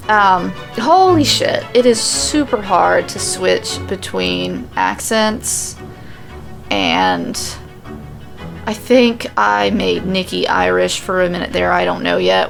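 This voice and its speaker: A woman's voice: mid-range voice, gravelly. A woman telling a scripted story as its podcast host, with casual asides.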